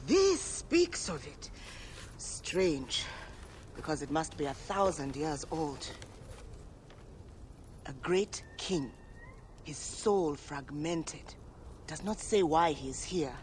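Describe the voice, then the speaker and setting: High-voiced, theatrical, shrewd relic dealer. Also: male voice, big pitch swings, slow, long pauses, slight background noise. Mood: intrigued. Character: shrewd bookish male relic dealer, eager, roguish. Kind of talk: video game cutscene acting.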